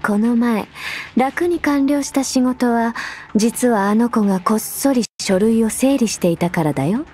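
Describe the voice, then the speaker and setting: A woman voicing a composed, gentle and protective sisterly figure in an anime game, soft-spoken and deliberate with a quietly teasing edge. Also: on the high side, close to an everyday voice. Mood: tender, confiding. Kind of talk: Japanese anime game voice lines, intimate delivery. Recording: background music under the voice. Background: music.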